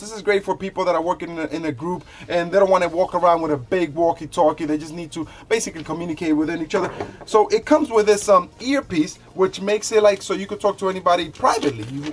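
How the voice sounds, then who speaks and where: A man hosting a casual product review, talking conversationally to viewers and repeating himself loosely as he demonstrates items. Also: fairly high pitch. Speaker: product reviewer, show host.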